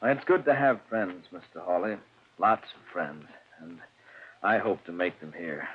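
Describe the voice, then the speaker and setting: An actor playing a soft-spoken accountant in a 1940s radio drama, polite but quietly sinister, who stammers a little. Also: male voice, low-pitched, animated, normal speed. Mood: courteous. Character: mild-mannered male accountant, quietly sinister. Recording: muffled old radio recording, hiss.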